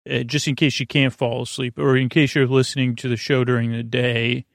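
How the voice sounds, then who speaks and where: A man hosting a bedtime podcast in a rambling, halting monologue full of tangents, with a gently whimsical, playful manner. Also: medium pitch, flat delivery, vocal fry, dry recording, studio quality. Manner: meandering, halting, self-interrupting tangents. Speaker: podcast host.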